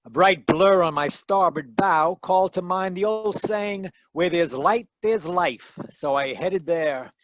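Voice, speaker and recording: A man playing a wry, deadpan hardboiled detective who narrates his case in 1940s radio style. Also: high-pitched, unhurried, hardly any pauses, no echo, poor audio. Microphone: close home mic on a video call.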